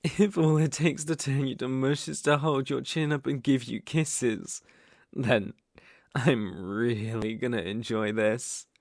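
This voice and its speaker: A man's voice: mid-range voice, steady tone, creaky. A British man acting a dominant, teasing, possessive young bully in love in an intimate ASMR roleplay. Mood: teasing, amused. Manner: slow, teasing, commanding.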